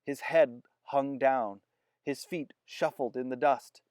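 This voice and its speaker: A man reading a children's chapter book aloud with expressive storytelling. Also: medium pitch, slow, smooth, dry recording, clean studio sound. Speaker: narrator.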